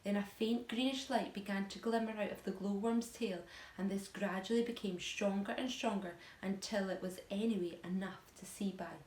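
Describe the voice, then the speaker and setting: A woman reads a children's story expressively, at a middle pitch. Her voice carries a strong echo from the room. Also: fairly even intonation, moderate pace, darkish tone. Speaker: teacher reading aloud. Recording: echoey room.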